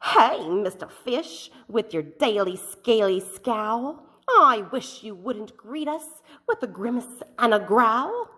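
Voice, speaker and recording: A gentle, drifting storybook jellyfish, as a woman puts it on while reading aloud. The voice sits a little high and glides along with a lively, rising-and-falling lilt. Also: takes it slowly, big-room sound, heavily acted.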